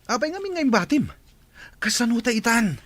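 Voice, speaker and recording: A man's voice: very high-pitched, big pitch swings, a little fast, a bit bright, no echo, full character voice. A man playing a regretful, impatient crook in a radio drama, with an Ilocano accent. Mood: agitated. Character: bitter, regretful jailed crook, impatient accomplice.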